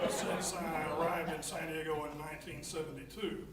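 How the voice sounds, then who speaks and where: Older Texan man, distant and echoey. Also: on the high side. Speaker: panel moderator. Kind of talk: informal opening remarks to a public forum. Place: large echoing indoor venue, public forum. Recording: echoey, noisy room, distant microphone.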